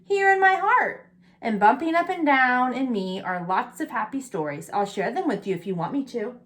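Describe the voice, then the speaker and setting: Lady reading as a sweet little storybook owl, high voice, friendly n earnest. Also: lively intonation, normal speed, fairly bright tone.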